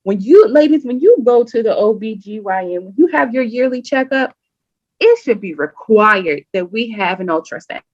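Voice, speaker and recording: A woman guest on a podcast, telling a personal story in an animated, emphatic way and often acting out what people said. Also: a little high, moderate pace, dry recording. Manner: animated, emphatic, acts out quoted speech. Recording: dull lo-fi sound.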